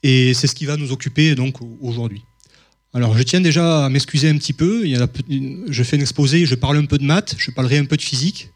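A man's voice: medium pitch, quick pace. A man from southern France giving a public mathematics lecture, hesitant, with many fillers and restarts.

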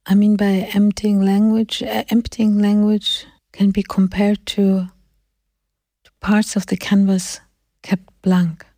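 A woman speaking English as a second language in a very mellow, relaxed voice, close and dry. They take their time over reflective talk about art, halting to find the right word. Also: medium pitch, dry recording. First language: not English. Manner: halting, searching for words. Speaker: artist in conversation.

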